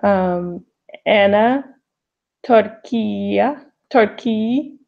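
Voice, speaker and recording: Slow, hesitant woman learner with creaky voice. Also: mid-range voice. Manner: hesitant, tentative, sounding out words. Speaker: language student. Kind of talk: halting read-aloud practice and translation in a lesson. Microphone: online call. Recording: dull, lo-fi online call audio.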